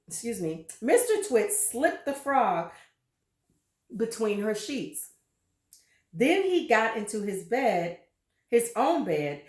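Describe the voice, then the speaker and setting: A woman reading a children's story aloud with deliberate, dramatic emphasis and brief asides to her listeners. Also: mid-range voice, echoey room.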